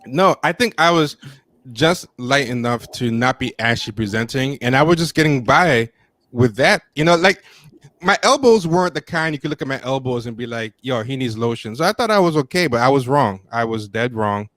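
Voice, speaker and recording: A man in his thirties chats on a livestream from a home studio, mocking himself and laughing between halting phrases. His voice sits at an ordinary pitch, close to the mic and very dry. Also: animated, fairly bright tone, no echo. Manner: self-deprecating, laughs often, trails off with fillers. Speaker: podcast co-host. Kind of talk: casual chat on a livestream. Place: home room with plants and posters. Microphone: studio mic with headset, on a video call.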